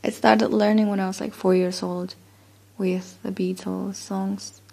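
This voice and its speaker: A woman's voice: mid-range voice, little pitch movement, unhurried, vocal fry, mellow. A woman from Chile, a native Spanish speaker with a Chilean accent, reads a word list casually and a bit unsure, trailing off with fillers. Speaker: accent-tag vlogger.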